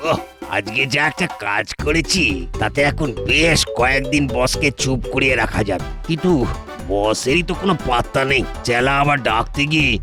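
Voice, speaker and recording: A man's voice: mid-range voice, animated, moderate pace, over music, lightly acted. A man voicing an eager, fawning henchman in a comic cartoon, wisecracking and bragging.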